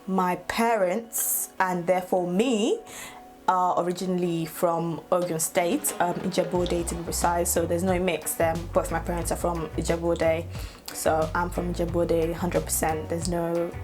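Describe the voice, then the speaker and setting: A woman's voice: lowish voice, flat delivery, normal speed, music in the background. A woman, talking casually to her camera and laughing often as she chats. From Nigeria. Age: 20s. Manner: chatty, laughs often, playful asides. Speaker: YouTuber. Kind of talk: casual get-to-know-me vlog.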